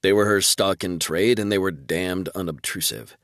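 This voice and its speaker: Deep-voiced man narrating an audiobook. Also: moderate pace, fairly bright tone, dry recording, studio quality. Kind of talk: scripted fiction narration.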